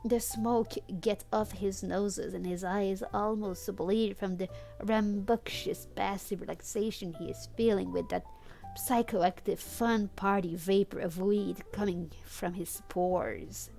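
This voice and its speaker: A woman's voice: medium pitch, slow, vocal fry, warm tone, faint music behind. A woman whose first language is not English, streaming a fan fiction reading with amused, theatrical delivery and casual asides.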